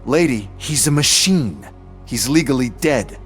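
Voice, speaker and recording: A man's voice: medium pitch, lively intonation, unhurried, soft background music, lightly acted. Man playing sneering, callous corporate bully. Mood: smug, taunting. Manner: sarcastic, taunting.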